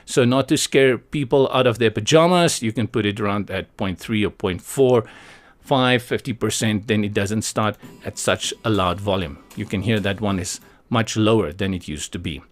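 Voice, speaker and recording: A man hosting a software tutorial, explaining step by step in a conversational way with casual asides. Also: fairly low pitch, normal speed. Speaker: tutorial host.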